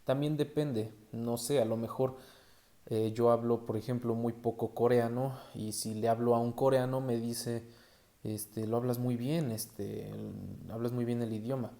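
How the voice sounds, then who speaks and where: A man's voice: on the low side, warm tone. Casual Mexican man hosting a podcast. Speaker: podcast host. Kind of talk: casual solo podcast talk.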